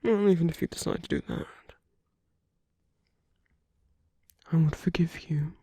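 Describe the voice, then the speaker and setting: Woman acting a breathy, husky flirtatious villain, half-whispering. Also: sits low, wildly swooping pitch, fast, mellow, no echo, studio quality, exaggerated voice. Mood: tender, earnest. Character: flirtatious villain, teasing and tender toward an enemy. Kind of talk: intimate ASMR roleplay acting.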